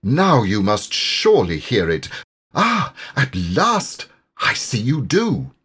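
A man's voice: mid-range voice, huge pitch swings, takes it slowly, fairly bright tone, slightly rough, full character voice. A man voicing an awestruck, dreamy water rat in an audiobook, with breathless, yearning exclamations. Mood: joyous awe. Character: rapturous male water rat, awestruck dreamy poetic animal. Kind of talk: audiobook character voice.

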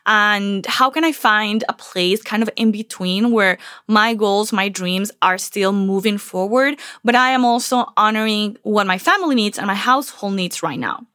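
A woman's voice: medium pitch, a bit bright, no echo, clean studio sound. Earnest woman podcast host from Venezuela. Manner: earnest, reflective storytelling. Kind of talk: casual solo podcast talk.

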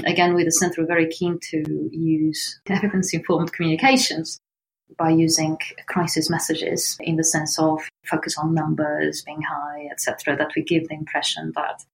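Woman, Portuguese accent, low voice, earnest, measured interview answers. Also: gravelly, low-quality recording. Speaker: founder of a homelessness research organization.

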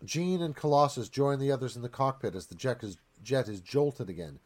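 A man's voice: medium pitch, a little fast, darkish tone. A man reading stage directions as scripted narration for a live radio play.

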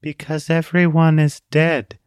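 A man voicing a small, weary girl who speaks in a near-monotone, calm and detached. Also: fairly high pitch, clear, resonant tone, dry recording, clean studio sound, voice pushed up, natural voice. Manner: flat, slow, calmly detached.

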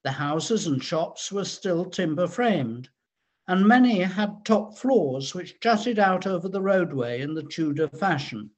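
Male narrator for scripted history, voice a touch high and rough-edged, measured storyteller's delivery. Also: lively intonation.

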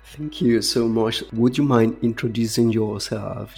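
A man hosting a podcast interview with a gravelly, husky voice. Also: mid-range voice, unhurried, darkish tone, soft background music. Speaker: podcast host.

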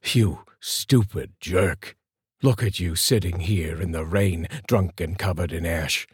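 Man reading as a contemptuous audiobook thug, very low gravelly-husky voice, deliberate threats. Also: no room sound, clean studio sound, full character voice. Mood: sneering threat. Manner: sneering, insulting, slow threatening delivery. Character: menacing middle-aged male thug, sneering and greedy.

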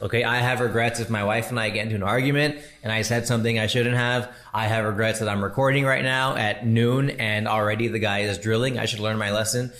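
A man's voice: mid-range voice, a bit bright. A man who hosts a podcast and does comedy, giving casual, slangy advice to a listener and laughing at his own jokes. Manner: chatty and slangy, calls listener bro and dude.

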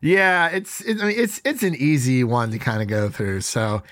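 A man hosting a podcast in casual chat, his voice raspy and stuffy from allergies, rambling with restarts. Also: medium pitch, normal speed, a bit bright, dry recording, clean studio sound. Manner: chatty, rambling with frequent restarts. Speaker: podcast host.

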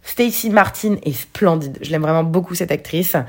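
A woman's voice: on the low side, moderate pace, a little mellow. A young woman, a native French speaker, giving casual, opinionated film reviews with occasional hesitations.

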